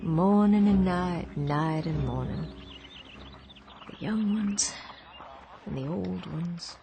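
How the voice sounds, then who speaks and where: A woman's voice: on the low side, slow, creaky, noisy background. A woman voicing a grieving woman weakened by fever, wistful and fragile, often sinking into whispers. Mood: weary. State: feverish and weak. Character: grieving Southern woman waiting for her husband. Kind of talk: radio drama acting. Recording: radio drama with music behind.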